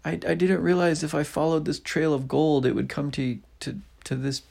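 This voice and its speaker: A flustered young girl , played by a dad reading aloud, stammers apologies and pleas in a raised, bright voice. Her words come out loud and tumbling, with a husky, gravelly edge underneath. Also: fairly high pitch, flat delivery, moderate pace, pitched above the performer's own voice, heavily acted. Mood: flustered pleading.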